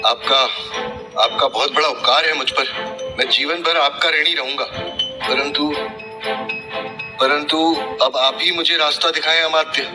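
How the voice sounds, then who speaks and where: A man's voice: a little high, steady tone, a little slow, pauses often, natural voice. A man playing a deferential, anxious royal treasurer in a historical drama, speaking formal Hindi with a humble, hesitant manner. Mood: grateful, respectful. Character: deferential, anxious, rule-abiding royal treasurer of ancient Magadha. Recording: loud dramatic music behind.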